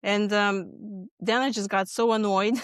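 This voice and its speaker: A woman from Lithuania with a Lithuanian accent, a guest speaking earnestly about stress and often pausing with ums and restarts. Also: mid-range voice, steady tone, smooth, dry recording, studio quality. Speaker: podcast guest, stress management expert. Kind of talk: conversational interview answers.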